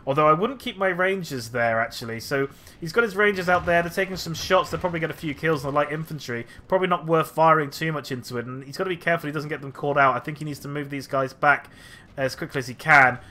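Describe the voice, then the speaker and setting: Man, game battle commentator, slightly nasal from a cold, fairly high voice, bright tone, lots of ums. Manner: unhurried, thinking aloud with many fillers.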